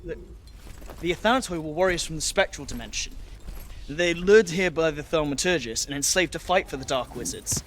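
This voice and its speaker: A male actor plays a young scholar companion in a fantasy game. His voice is high and lively, with an earnest, bookish manner and a nervous edge, over faint background noise. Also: a little fast, lightly acted. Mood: earnest, explaining. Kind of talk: video game character acting.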